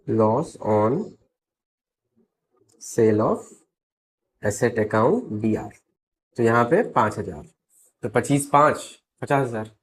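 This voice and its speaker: Slightly hoarse Indian man teaching. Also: mid-range voice, poor audio. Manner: step-by-step, checks in with rhetorical questions. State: slightly hoarse, sore throat. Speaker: accountancy teacher. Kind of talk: explanatory lesson mixing Hindi and English.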